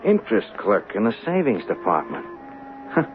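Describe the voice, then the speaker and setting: An actor playing a young streetwise hustler in a 1970s radio drama, slangy, offhand and hesitant, cocky yet guarded. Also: male voice, lowish voice, wildly swooping pitch, moderate pace, faint music behind. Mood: brooding narration. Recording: narrow, faintly noisy old radio broadcast.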